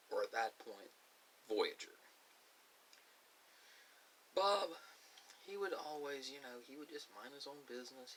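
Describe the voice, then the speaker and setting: Bright-voiced man reminiscing haltingly over hiss. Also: medium pitch, rough recording. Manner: halting, pausing between short phrases. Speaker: ASMR video creator. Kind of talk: reflective personal storytelling. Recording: clear background hiss.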